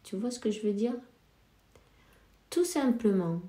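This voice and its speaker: Slow, mellow-voiced woman with a cold. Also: mid-range voice, animated, roomy sound. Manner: slow, hesitant, many fillers. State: has a cold. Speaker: spiritual card reader. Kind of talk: casual spiritual advice talk.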